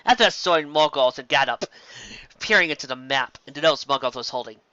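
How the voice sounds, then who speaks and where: A man reading fan fiction aloud as an amateur narrator, expressive and occasionally stumbling over words. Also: mid-range voice, fairly quick, dry recording, muffled recording.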